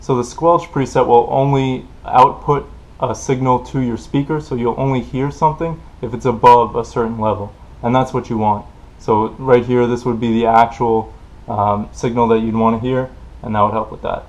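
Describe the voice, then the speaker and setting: A man's voice: mid-range voice, fairly even intonation, moderate pace. A man giving an informal technical explainer in a plain-spoken, loosely improvised way, as a tutorial presenter. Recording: dull, lo-fi voice recording.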